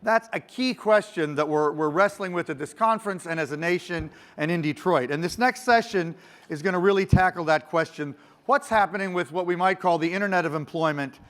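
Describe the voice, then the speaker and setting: A man with a fairly high voice speaks from a conference stage. His voice is loud and his manner is loose and joking, and he corrects himself mid-sentence as he hands the session over. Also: fairly quick. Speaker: conference moderator.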